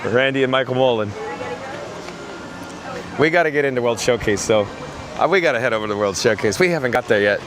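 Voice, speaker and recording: A hoarse man live-streaming as he walks outdoors through a theme park, chatting and reading out messages from his chat. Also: medium pitch, lively intonation, brisk, long pauses, noisy background. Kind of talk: live-stream walking commentary.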